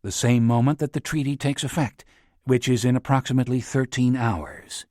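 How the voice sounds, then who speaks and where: A velvet-voiced tycoon, read by a male narrator, holds a crowd with a sweeping, oratorical speech. His voice sits at a middling pitch and sounds dry and close, with no room around it. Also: moderate pace, a little mellow, dry recording, lightly acted.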